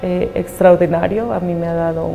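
A woman from Colombia speaks in a low, flat, gravelly voice, measured and earnest, pausing on small fillers. Soft music plays under her interview. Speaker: hotel owner, human rights lawyer. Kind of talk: documentary interview.

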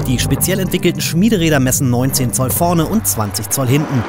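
A man with a German accent reading a scripted, brisk and technical car-review voice-over. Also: medium pitch. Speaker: car magazine narrator. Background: music.

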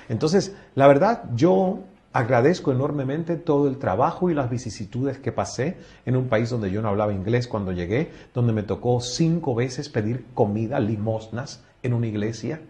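Man, Cuban Spanish accent, forties, expressive, interview guest, table mic, small office. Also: medium pitch. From Cuba. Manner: expressive, gestures a lot, shifts between emphatic and soft. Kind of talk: reflective interview answer. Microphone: table mic in front.

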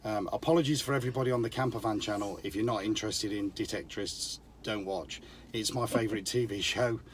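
Hoarse middle-aged male vlogger, low-voiced, chatty and rambling, phone camera outdoors. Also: fast, slight background noise. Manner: rambling, chatty, with frequent ums. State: hoarse, losing his voice from a cold. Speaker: vlogger touring filming locations. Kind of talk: casual walking tour chat. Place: outdoors on small town streets.

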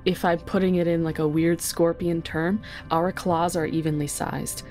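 A woman's voice: fairly low pitch, level tone, moderate pace, darkish tone, faint music behind. A woman guest on a gaming podcast, casually improvising a monster's moves and explaining them as she thinks aloud. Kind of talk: casual tabletop game improvisation.

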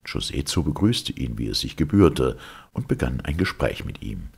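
A man reading a legend aloud as a narrator, in a measured, formal storytelling delivery. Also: rumbling low, sing-song, gravelly, breathy edge.

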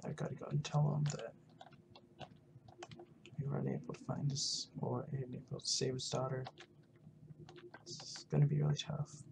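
A man's voice: mid-range voice, level tone, normal speed, vocal fry, long pauses, noisy background. A man doing casual roleplay narration over his gameplay as the player character. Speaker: gaming roleplay video maker.

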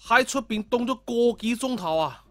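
A man's voice: extremely high pitch, lively intonation, moderate pace, a bit bright, no echo, soft background music, voice pushed up. A man voicing a kind-hearted, greedy talking weasel in an audio story, chatty and inquisitive. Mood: curious, surprised.

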